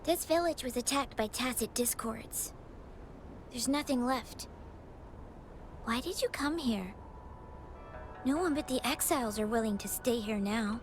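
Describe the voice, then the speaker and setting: A woman's voice: on the high side, measured pace, pauses often, a little hiss or hum, lightly acted. A woman voicing a timid, lonely little girl in a video game, hesitant and guarded. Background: game music.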